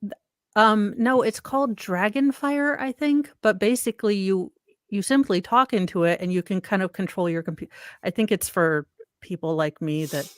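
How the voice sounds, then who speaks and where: A woman's voice: mid-range voice, normal speed, clear, resonant tone, no room sound, clean studio sound. A woman co-hosting casual commentary on a trial, chatty, with wry, opinionated asides.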